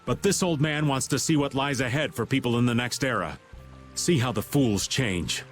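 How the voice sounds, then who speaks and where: A man's voice: mid-range voice, moderate pace, faint music behind, close to an everyday voice. An actor playing a wistful, world-weary middle-aged man who calls himself old, in a video game. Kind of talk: dramatic video game voice acting.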